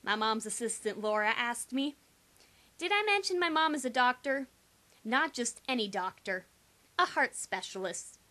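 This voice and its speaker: A woman's voice: fairly high pitch, animated, normal speed, bright, forceful tone. A young adult woman giving a sarcastic, theatrical reading of a first-person teen story, with exaggerated emphasis. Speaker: amateur reader.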